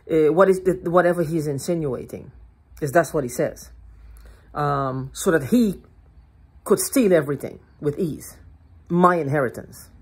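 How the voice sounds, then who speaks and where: An older woman ranting to her phone camera in a living room, heated, profane and repetitive, with a hoarse throat. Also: low-pitched, a little mellow. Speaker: vlogger. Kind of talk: agitated rambling monologue to camera. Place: living room at home, indoors. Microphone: phone held at arm's length.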